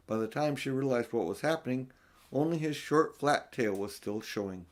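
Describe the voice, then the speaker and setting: An older man narrating a children's storybook as an audiobook reader, with expressive delivery. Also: mid-range voice.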